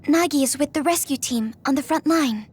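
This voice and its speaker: Woman voicing a high-pitched, earnest young female soldier. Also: normal speed, darkish tone, lightly acted. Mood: matter-of-fact. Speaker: voice actor in a video game. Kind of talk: dubbed anime-style game acting.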